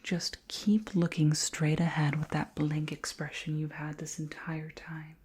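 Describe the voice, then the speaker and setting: Alien queen played by a woman, breathy whisper, slow and deliberate, doting, fascinated by humans, close-mic ASMR roleplay. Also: low voice, level tone, darkish tone, full character voice. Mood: fascinated, musing. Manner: slow, deliberate, formal wording with musing hums. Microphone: close microphone.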